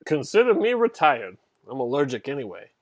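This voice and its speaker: A man's voice: medium pitch, big pitch swings, fairly quick, dry recording, close to an everyday voice. A man acting a shifty, evasive street-thug lackey with slangy speech in an audio drama. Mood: nervous.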